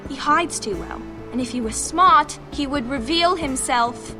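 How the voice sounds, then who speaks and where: A woman's voice: high voice, a little slow, over music. An actress playing a protective, composed noble girl in a fantasy game, speaking in a proper, reassuring way. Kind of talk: dramatic fantasy game acting.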